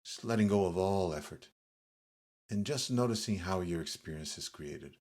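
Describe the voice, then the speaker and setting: Male meditation guide, low and a little breathy-rough, delivering yoga nidra instructions in measured, pause-filled phrases. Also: lively intonation, a little mellow.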